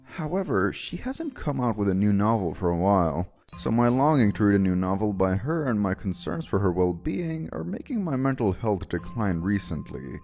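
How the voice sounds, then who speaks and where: A man acts out a young male office worker in an anime-style dub, low-pitched with wide swings in intonation. He is worn out, earnest and polite, easily flustered, with music playing underneath. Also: moderate pace, few pauses. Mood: weary, despairing. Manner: earnest, weary, expressive. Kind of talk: anime-style manga dub narration and dialogue.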